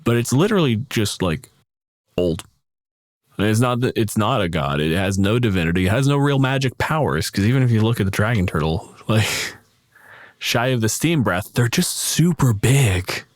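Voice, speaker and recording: Man, lowish pitch, casual podcast chat, emphatic, opinionated, profane, dry close recording. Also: expressive, normal speed, no echo. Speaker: podcast host. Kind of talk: casual tabletop game discussion.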